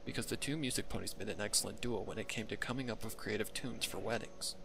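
A man's voice: fairly low pitch, fast, gravelly, background noise. A man giving scripted narration of a fan fiction story.

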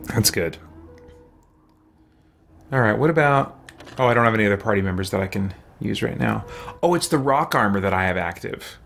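A man's voice: on the low side, animated, normal speed, gravelly, pauses often, fairly bright tone, slight background noise. A middle-aged man giving casual live gameplay commentary, musing aloud as he makes choices. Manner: musing, thinking aloud while choosing. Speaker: game streamer. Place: indoor room with shelves, streaming setup.

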